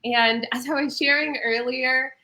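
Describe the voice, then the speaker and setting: A woman's voice: sits high, steady tone, unhurried, pushed and bright. A young adult woman wellness coach, a little congested from a cold, explaining nutrition in an earnest, upbeat podcast chat. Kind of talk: casual podcast talk.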